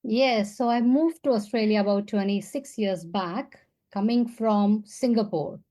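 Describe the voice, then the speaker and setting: A woman's voice: medium pitch, a little slow, dark tone. A woman from India, a podcast guest, telling her story in earnest, casual interview talk.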